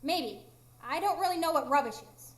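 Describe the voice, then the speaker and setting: Very high-pitched mischievous tiny fairy. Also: female voice, animated, fairly quick. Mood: blunt, matter-of-fact. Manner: childlike, teasing, matter-of-fact. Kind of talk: live radio drama acting. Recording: echoey room, live stage recording.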